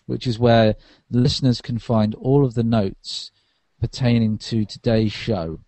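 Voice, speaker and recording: A man's voice: mid-range voice, fairly even intonation, unhurried, warm tone, no room sound. A tired man, a podcast co-host, chatting casually about tech while lying on a sofa.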